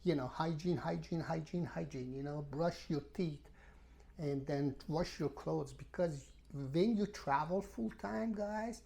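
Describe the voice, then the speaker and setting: Female travel vlogger, non-native English, mellow creaky voice, rambling and exasperated to camera. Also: sits low, measured pace. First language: not English. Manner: emphatic, repetitive, exasperated storytelling. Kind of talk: casual rambling rant to camera.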